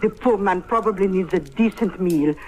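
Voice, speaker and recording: A woman acts a kindly madame in a vintage radio comedy, earnest and quick to protest. She sounds indignant, on an old recording with faint noise. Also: mid-range voice, a little mellow, lightly acted. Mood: indignant, pleading. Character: indignant, kindly French madame devoted to feeding invalid.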